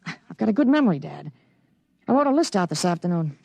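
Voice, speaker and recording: Casting: precocious thirteen-year-old with an old soldier's certainty, matter-of-fact, insistent, 1950s radio drama, close dry sound. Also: male voice, high voice, big pitch swings, fairly quick, creaky, a little mellow, no echo, highs cut off, exaggerated voice. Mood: confident, persuasive.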